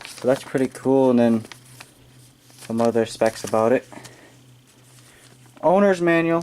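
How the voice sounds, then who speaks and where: An American man giving a casual, halting, off-the-cuff hands-on product demonstration as he unboxes it on camera. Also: medium pitch, a little mellow. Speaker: amateur unboxing video maker. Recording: noisy amateur room recording with clicking.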